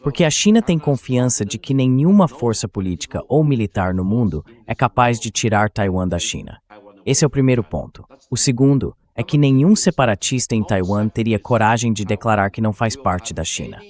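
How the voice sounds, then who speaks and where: A man's voice: medium pitch, animated, a little fast, darkish tone. A man with a Brazilian accent speaking as a dubbed interview guest, giving political commentary in an emphatic, didactic manner.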